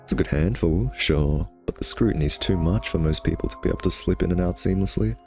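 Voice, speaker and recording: A man's voice: low voice, fairly quick, creaky, soft background music. A man playing a cocky, sardonic vampire nemesis who teases and taunts the listener in an intimate ASMR roleplay. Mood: smug, teasing.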